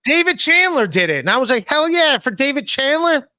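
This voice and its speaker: High-voiced man hosting a podcast. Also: very animated, a little fast, bright, forceful tone, no room sound, clean studio sound. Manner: self-deprecating, reads chat messages aloud. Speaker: podcast host. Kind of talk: casual chat. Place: home room, indoors. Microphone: studio mic with headphones, video call.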